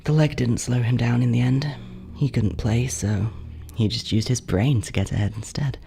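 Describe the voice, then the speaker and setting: An actor playing a jealous, guilt-ridden older brother in a horror audio drama monologue, halting and confessional, with a gravelly, creaky voice. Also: male voice, medium pitch, steady tone, mellow, slight background noise, lightly acted. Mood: bitter, melancholy. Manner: hesitant, confessional, halting, drops toward whispers.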